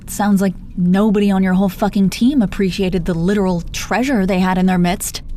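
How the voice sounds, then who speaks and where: Woman doing a thirties lady supervillain for roleplay audio, really mellow warm voice, swears a lot, coaxing and protective, bit of noise behind. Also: medium pitch, fairly even intonation, brisk, lightly acted. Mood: outraged, tender. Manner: foul-mouthed, coaxing, using pet names. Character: teasing female supervillain in her thirties, protective and sweet-talking. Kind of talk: intimate roleplay audio drama. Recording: noticeable background noise.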